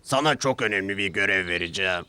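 Stout male controller for a children's storybook, done by a man; deep voice, formal, commanding, stern yet kind. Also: fast talker, pushed and bright, smooth. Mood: formal, instructing. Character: stout, authoritative male railway controller, stern but kindly. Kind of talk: children's cartoon storybook character voice.

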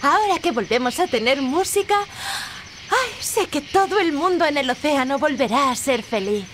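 A woman playing a grateful, cheerful young mermaid in an animated game. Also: high-pitched, normal speed, slight background noise. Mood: joyful, grateful. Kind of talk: animated video game dub.

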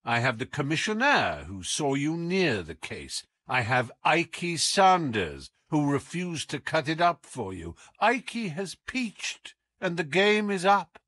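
A man voicing a sharp, commanding detective in an audiobook, coolly taunting, with deliberate, teasing emphasis. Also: fairly high pitch, wildly swooping pitch, pitched above the performer's own voice, full character voice. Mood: coolly pressing. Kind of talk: audiobook character acting.

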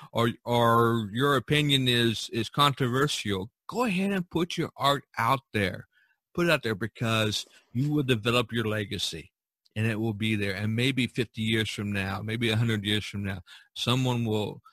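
Bright-voiced man hosting a podcast. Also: medium pitch, no room sound. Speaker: podcast host. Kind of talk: casual podcast discussion.

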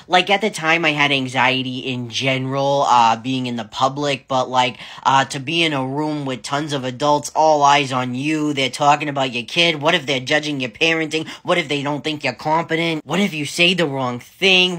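A young woman, chatting to camera about her son, animated and laughing often, filmed on a phone at arm's length in a small wood-paneled room. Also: extremely low pitch. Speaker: parent vlogger. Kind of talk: casual chat to camera. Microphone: phone held at arm's length.

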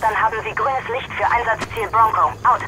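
Military dispatcher on noisy radio. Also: female voice, fairly high pitch, steady tone, normal speed. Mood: brisk, composed. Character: calm female military radio dispatcher giving orders. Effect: military radio filter. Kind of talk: clipped military radio dialogue.

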